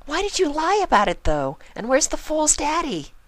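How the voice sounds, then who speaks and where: A woman voicing a bubbly, excitable young female pony with gushing, emphatic, childlike talk in a story reading. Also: sits high, normal speed, dry recording, pitched above the performer's own voice. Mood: friendly, reassuring.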